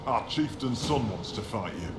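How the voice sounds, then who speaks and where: Low, gruff barbarian shaman played by a man. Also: lively intonation, very noisy, lightly acted. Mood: scornful, grave. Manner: terse, dry, unhurried. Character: gruff, proud barbarian mountain shaman, weary of fighting. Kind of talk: video game voice acting. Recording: roomy echo, loud music behind. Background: loud music.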